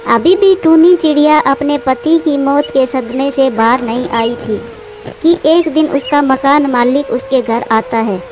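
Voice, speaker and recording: A woman's voice: high-pitched, unhurried, over music. A woman narrating a scripted children's moral story as a storyteller.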